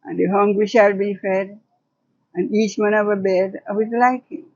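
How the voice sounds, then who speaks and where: A woman's voice: medium pitch, steady tone, normal speed, darkish tone. An older woman, speaking as a guest and poet in dull, lo-fi audio. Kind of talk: reminiscing in conversation and reciting own poetry.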